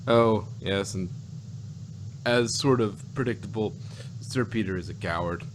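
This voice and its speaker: A man chatting casually as a podcast host, halting and hesitant, with chuckles between thoughts. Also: fairly low pitch, noisy background. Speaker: podcast host reviewing an audio drama. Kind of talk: casual chat.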